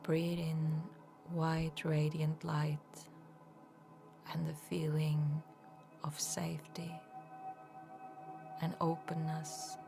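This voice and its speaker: A woman leading a guided meditation as an energy healer, speaking in a soft, soothing, unhurried way with breathy phrase endings. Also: deep voice, monotone, music in the background.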